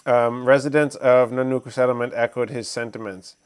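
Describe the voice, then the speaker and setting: A man co-hosting a casual livestream who chats loosely, laughs often and reads a news article aloud haltingly. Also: mid-range voice, level tone, smooth. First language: English, Fijian not first language. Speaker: livestream co-host.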